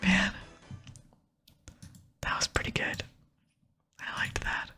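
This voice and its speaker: A woman whispering softly and breathily as she hosts a casual ASMR game playthrough. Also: slow, soft background music. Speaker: host of a gaming video series. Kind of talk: ASMR game play with whispered dialogue reading.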